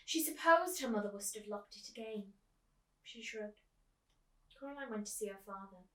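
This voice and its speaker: Bright-voiced woman reading a children's story, echoey room. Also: mid-range voice, lively intonation, normal speed, far from the mic. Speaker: storytime reader, teacher. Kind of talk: animated children's book read-aloud. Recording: echoey room, away from the mic.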